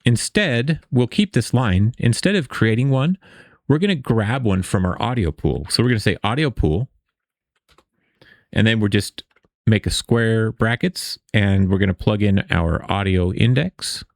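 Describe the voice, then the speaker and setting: A man's voice: fairly low pitch, lively intonation, measured pace, no echo, studio quality. A man hosting a casual screen-share coding tutorial, explaining step by step in an informal, conversational way. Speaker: tutorial host.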